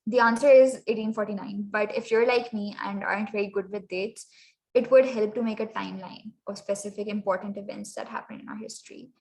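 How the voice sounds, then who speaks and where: A young Pakistani woman presents a workshop in a relaxed, chatty way, her English carrying a clear Pakistani accent. Her voice sits at a middling pitch, and she leans on lots of ums and uhs, now and then dropping into Urdu. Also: fairly quick, low-quality recording. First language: Sindhi. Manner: chatty, many fillers, slips into Urdu phrases. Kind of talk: casual presentation.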